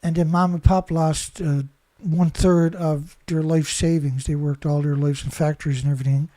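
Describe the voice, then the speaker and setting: Male commentator, rambling and indignant, dark tone, dry close sound. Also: on the high side, flat delivery, moderate pace, no echo. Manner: rambling, indignant, many restarts and "right?" tags. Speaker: commentator reading news. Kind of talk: casual monologue reading headlines with commentary.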